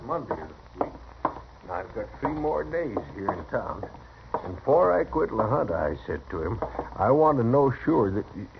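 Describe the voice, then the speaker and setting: An actor playing a garrulous, boastful, starstruck cowboy in a 1950s radio Western, with a rural American accent and a stammering, fawning, excitable manner. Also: male voice, lowish voice, moderate pace, gravelly. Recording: dull, noisy vintage radio recording.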